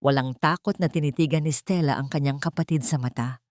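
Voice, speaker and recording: A woman narrates a scripted drama in a somewhat low voice. Her delivery is solemn and melodramatic. The sound is very dry, with no room echo. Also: fairly quick, darkish tone. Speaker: story narrator.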